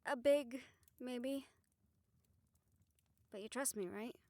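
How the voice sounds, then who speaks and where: A woman playing a calm, reassuring witch who leads a ritual in an audio roleplay, steady and encouraging as she gives instructions. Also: a little high, takes it slowly, no echo, clean studio sound. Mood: earnest, focused. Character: calm, reassuring female witch leading a soul-bond ritual.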